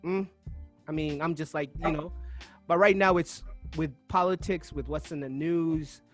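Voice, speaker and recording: A man's voice: a little high, steady tone, unhurried, soft background music. A man from Uganda hosting a podcast interview, thoughtful and searching for his words, often restarting his sentences. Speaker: podcast host. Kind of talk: casual interview chat.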